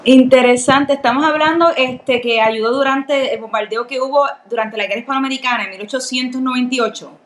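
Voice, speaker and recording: A woman's voice: high-pitched, a little fast, few pauses, roomy sound, slight background noise. A woman hosting a podcast interview and introducing her guest with enthusiasm. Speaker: podcast host.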